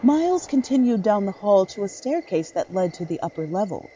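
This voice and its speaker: A woman's voice: medium pitch, sing-song, brisk, warm tone, muffled recording, a little hiss or hum. A woman reading scripted narration for a dramatic horror story.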